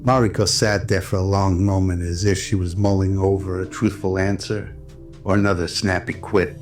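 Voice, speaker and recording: A man's voice: sits low, level tone, normal speed, a little mellow. A man reading scripted narration for an audio drama. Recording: music under the voice. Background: music.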